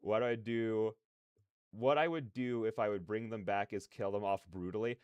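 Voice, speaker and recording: A man's voice: fairly low pitch, fairly even intonation, moderate pace, clean tone, no echo, highs cut off. A man hosting a livestream, chatting casually and animatedly in slangy, rambling opinions. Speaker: livestreamer, reaction host. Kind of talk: casual livestream chat with viewers.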